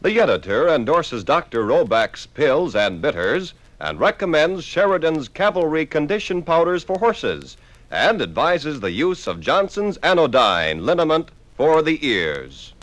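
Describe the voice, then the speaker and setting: A man voicing a stiff small-town newspaper editor reading endorsements in a 1950s radio documentary, formal and deliberate. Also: on the high side, sing-song, a bit bright, no room sound. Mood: stiff, promotional.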